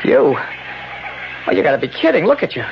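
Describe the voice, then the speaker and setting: An actor playing an anguished, broken, enslaved man, weak and bitter, with a strained creaky voice, in a 1970s radio drama. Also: on the high side, wildly swooping pitch, a little fast, exaggerated voice. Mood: agonized bitter. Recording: dull, noisy 1970s radio recording.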